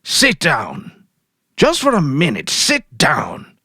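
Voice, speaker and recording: A man's voice: a little high, wildly swooping pitch, takes it slowly, gravelly, pushed and bright, slightly rough, dry recording, studio quality, pitched above the performer's own voice, exaggerated voice. A man voicing a weary, brusque senior policeman husband in a dramatic story reading. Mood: impatient, commanding. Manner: terse, brusque.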